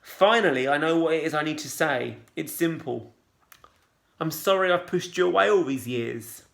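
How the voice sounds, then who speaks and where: A man calmly and expressively reading a children's novel aloud, then posing questions to listeners like a teacher. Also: fairly high pitch, creaky. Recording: roomy echo.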